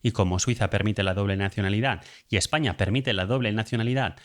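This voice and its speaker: Man, accent from Spain, low voice, informal explainer host who talks conversationally and feels his way through each point. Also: fast talker. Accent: Spanish from Spain. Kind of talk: casual informal explainer monologue.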